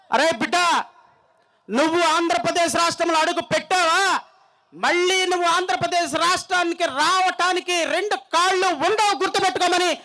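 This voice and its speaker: High-pitched, forceful man giving a fiery speech. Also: low-quality recording. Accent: Andhra Telugu. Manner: combative, threatening, emphatic repetition. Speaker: political speaker at a rally. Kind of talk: fiery political speech from a stage.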